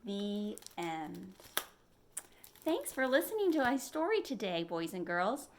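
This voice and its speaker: A woman's voice: mid-range voice, big pitch swings, takes it slowly, slight background noise. A woman reading a picture book aloud to young children as a librarian, expressively, in a style that invites listeners in with questions. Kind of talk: animated storytime read-aloud for young children.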